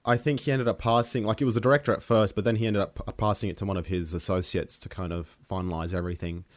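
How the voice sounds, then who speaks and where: Low-ish guy, serbian first language, rambly, lots of ums, dry close sound. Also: steady tone, fast, no room sound. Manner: rambling, many fillers and restarts. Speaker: musician guest. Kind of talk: casual interview chat.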